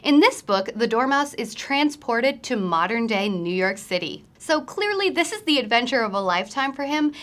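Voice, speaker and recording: Woman, fairly high voice, book show host, scripted recommendations, presenter delivery. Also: pushed and bright.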